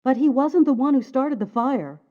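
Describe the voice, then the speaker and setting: A narrow, phone-like sound carries a fairly high-voiced woman. She is an insistent scientist and mother in a game drama, speaking with great earnestness. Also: expressive, moderate pace. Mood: worried insistence. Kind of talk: dramatic video game acting.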